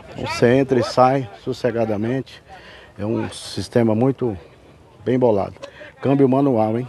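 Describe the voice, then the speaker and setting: A man from Brazil giving a folksy, chatty sales pitch while filming cars at an outdoor car fair on a handheld camera. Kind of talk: walkaround sales vlog. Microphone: handheld camera mic, filming.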